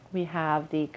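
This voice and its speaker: A woman giving a pick-a-card tarot reading in a musing tone, her voice a little hoarse and scratchy, often clearing her throat. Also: low-pitched, muffled recording. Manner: musing, hedges with "I feel". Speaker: tarot reader.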